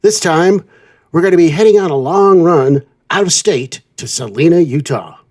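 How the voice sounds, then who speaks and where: A man with a somewhat high voice hosts a scripted road show. He addresses his listeners like a theatrical old-style announcer. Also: moderate pace, darkish tone. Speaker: host of a trucking show playing old-time radio. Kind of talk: semi-scripted show presenting.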